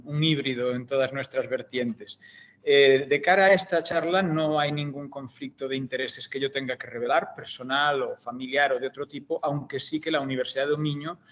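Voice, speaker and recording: A middle-aged Galician man with a mid-range voice lectures over a video call from his computer microphone. His Galician Spanish accent colors a measured, academic delivery. He pauses often on fillers and restarts his phrases as he goes. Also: medium pitch, fairly bright tone. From Galicia, Spain. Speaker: guest lecturer, optometry researcher. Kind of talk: academic seminar talk.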